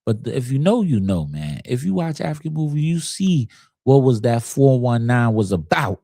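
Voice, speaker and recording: Gravelly new guy, dark voice, slangy and emphatic, keeps repeating himself. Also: mid-range voice, big pitch swings, normal speed, no room sound, studio quality. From United States. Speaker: commentator. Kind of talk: casual commentary.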